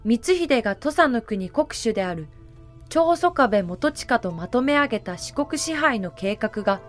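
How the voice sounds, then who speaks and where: A woman with a high voice narrates a historical audio drama. Her delivery is scripted storytelling with dramatic phrasing, and music plays softly behind her. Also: animated, a little slow.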